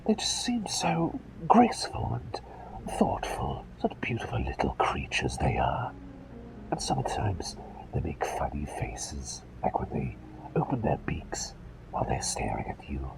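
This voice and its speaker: A man performing a whispered ASMR roleplay as a gentle, eccentric plague doctor, with courteous old-fashioned phrasing and a dithering, repetitive way of talking. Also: extremely low pitch, huge pitch swings, a little slow, vocal fry, low-quality recording. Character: gentle, eccentric, absent-minded male plague doctor, polite and chatty.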